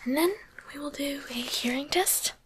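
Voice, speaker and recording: A woman playing a softly teasing, curious demon doctor in a coaxing, deliberate whisper for an ASMR roleplay, close to the microphone. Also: high-pitched, a little hiss or hum. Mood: calm, instructive.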